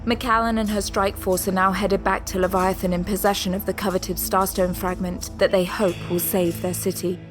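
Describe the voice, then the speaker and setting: A woman's voice: mid-range voice, little pitch movement, normal speed, few pauses. A woman reading scripted dramatic narration for an audio drama. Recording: music under the voice. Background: background music.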